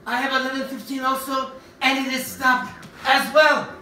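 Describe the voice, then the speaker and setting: A woman's voice: high voice, level tone, moderate pace, over music, close to an everyday voice. A woman acting an obsessed, secretive professor in a stage version of a radio play, with a dramatic, emphatic delivery. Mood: intent, excited. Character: obsessed, secretive professor hunting a buried ancient machine. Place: theatre stage, picked up from afar. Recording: roomy, distant stage recording with faint noise.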